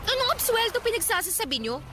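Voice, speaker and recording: An actress playing a stern, exasperated young adult daughter in a radio drama, blunt and scolding. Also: female voice, extremely high pitch, very animated, quick pace, background noise. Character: stern, embarrassed grown daughter scolding her mother.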